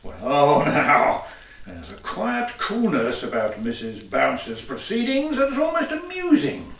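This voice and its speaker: A man's voice: on the high side, huge pitch swings, slow, a bit bright, recorded from a distance, full character voice. A man playing a pompous, wildly swooping Victorian hatter. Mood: indignant, astonished. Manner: pompous, declamatory, exclamatory. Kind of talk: theatrical farce acting for audio play. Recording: roomy echo, away from the mic.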